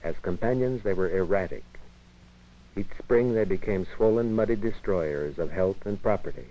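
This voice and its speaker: An American man narrating a 1950s educational film in a measured, formal, literary manner. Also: sits low, warm tone. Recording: dull 1958 film soundtrack, radio-like.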